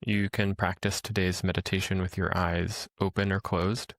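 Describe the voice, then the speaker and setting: A man leading a guided meditation as a teacher, speaking in a calm, gentle, instructional way with pauses. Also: deep voice, dry recording.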